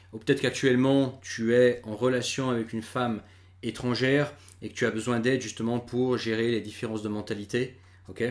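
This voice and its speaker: A man in his thirties whose first language is not French, talking to camera as a dating coach in a casual monologue, often pausing on fillers and restarting his sentences. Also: medium pitch. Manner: hesitant, many fillers and restarts.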